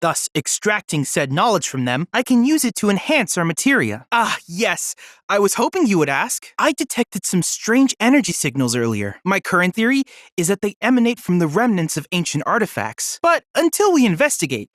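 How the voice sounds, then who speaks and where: Eager, polite boy cyborg researcher, lively pitch. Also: normal speed, dry recording, studio quality. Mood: helpful, formal. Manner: formal, precise, courteous, chipper. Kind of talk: scripted video game character dialogue.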